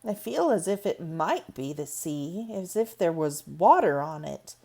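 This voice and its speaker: A woman's voice: low-pitched, sing-song, unhurried, vocal fry, warm tone, husky, heavily acted. A woman voicing a small young girl who sounds uneasy and sullen.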